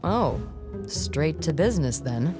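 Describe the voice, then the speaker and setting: Low-voiced sardonic young receptionist. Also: female voice, sing-song, takes it slowly, over music, heavily acted. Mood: teasing. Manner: playful sarcasm. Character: teasing, sardonic young female hotel receptionist named Beth. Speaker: hotel receptionist. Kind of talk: naturalistic video game cutscene acting.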